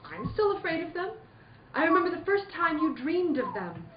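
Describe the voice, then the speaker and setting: An echoey, dull room recording carries a woman acting as an anxious dream double in a radio play. The voice is extremely high and halts as it pleads, full of dread. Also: sing-song, slight background noise. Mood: afraid, mournful. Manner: halting, repeats herself in dread. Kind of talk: amateur radio drama acting.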